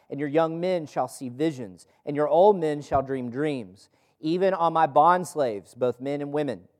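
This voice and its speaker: A man's voice: fairly high pitch, a little mellow. A man leading a group Bible study in an informal, conversational preaching style, asking rhetorical questions and repeating phrases for emphasis. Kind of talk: informal teaching sermon with scripture reading. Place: room with a group gathered.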